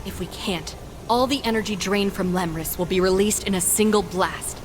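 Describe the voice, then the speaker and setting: A woman's voice: mid-range voice, normal speed, background noise, lightly acted. A woman playing a determined, level-headed commando in a video game, speaking in a focused, matter-of-fact briefing tone. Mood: focused, serious. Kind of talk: video game cutscene acting.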